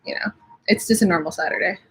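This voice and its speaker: A woman's voice: fairly low pitch, fast, fairly bright tone, husky. A young woman with slightly sniffly allergies, chatting casually from home on a live stream and reading out viewer comments. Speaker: livestream host.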